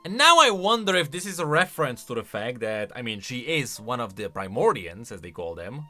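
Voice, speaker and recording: Male lore commentator: casual and animated, very lively pitch swings, forceful bright tone, thinks aloud. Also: brisk, soft background music. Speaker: video host, game lore commentator.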